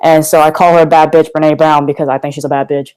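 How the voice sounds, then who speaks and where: Young man, smooth voice. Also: on the high side, fast, poor audio. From Korea. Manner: candid, energetic, slangy. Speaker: guest, motivational speaker. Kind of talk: casual podcast interview.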